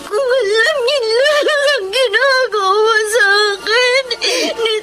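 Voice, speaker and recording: A woman in a radio drama playing a frightened, anguished fifteen-year-old girl with a Filipino accent, halting and pleading. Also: very high-pitched, music in the background. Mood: anguished, tearful. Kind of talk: Tagalog radio drama acting.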